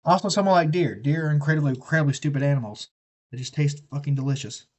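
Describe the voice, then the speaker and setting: A man's voice: on the high side, fast. A man chatting casually on a live stream, rambling and profane as he talks. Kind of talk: casual rambling chat while building a kit.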